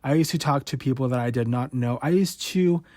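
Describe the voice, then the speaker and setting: A man in his late twenties talks on his podcast with a stutter that repeats words and cuts sounds short. He is blunt and frank and swears freely. His voice sits at an ordinary pitch and is recorded dry and close. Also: fairly quick, darkish tone, dry recording. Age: 20s. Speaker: podcast host. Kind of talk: candid personal monologue.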